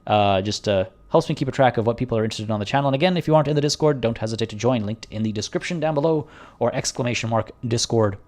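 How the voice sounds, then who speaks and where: A man streaming a video game, chatting casually with viewers in a rambling way, and slightly hoarse, as if losing his voice. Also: medium pitch, racing, darkish tone. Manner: rambling and chatty, frequent restarts. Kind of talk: casual livestream commentary.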